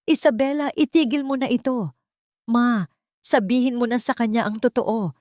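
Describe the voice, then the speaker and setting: A woman's voice: fairly high pitch, moderate pace, a little mellow, no room sound, pitched above the performer's own voice, lightly acted. A woman narrator plays a young husband torn by guilt, with a Filipino accent. The voice is raised and pleading, full of anguish, in a dry story recording. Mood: desperate, pleading. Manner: emotional, pleading.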